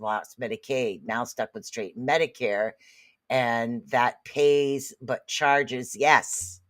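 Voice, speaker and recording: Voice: medium pitch, bright, forceful tone, no room sound. Rambling host in their late fifties, dry sound. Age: 50s. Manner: rambling, repeats phrases, frequent "literally" and "okay?". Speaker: livestream host. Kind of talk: casual commentary reading viewer comments.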